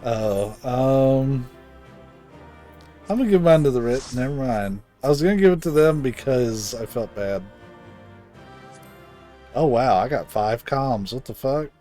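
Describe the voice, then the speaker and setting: A man's voice: mid-range voice, lively intonation, a little slow, long pauses, mellow. A man streaming a video game with informal, sweary live commentary, sometimes reading the dialogue aloud. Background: game music.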